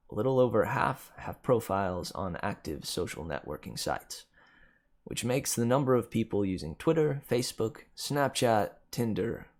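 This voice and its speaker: A man reading a dark second-person story aloud as scripted narration, with dramatic emphasis that swells and drops. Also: on the low side. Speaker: amateur story reader.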